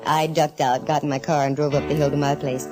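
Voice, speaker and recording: Low-voiced, wry lady detective played by an actress. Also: little pitch movement, fairly quick, music in the background, natural voice. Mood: wry narrating. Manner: wry, slangy, breezy. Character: wry, breezy, self-assured female private eye. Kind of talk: 1940s radio acting, wry first-person detective narration and dialogue.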